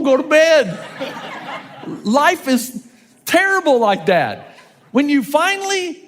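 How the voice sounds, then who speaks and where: Animated high-voiced man in his fifties preaching. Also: big-room sound. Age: 50s. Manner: animated, jokey preaching with rhetorical questions. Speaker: pastor. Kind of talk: sermon.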